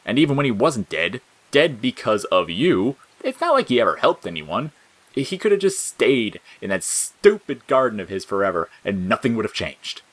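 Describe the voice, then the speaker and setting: A man's voice: medium pitch, very animated, moderate pace, a bit bright, pitched above the performer's own voice, rough recording. Man as spiteful monster flower, audio drama: sarcastic, bored, snappish, voice lifted above his natural register. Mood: contemptuous, irritated. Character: sneering bitter talking flower, spiteful bored male monster. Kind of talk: audio fiction character acting.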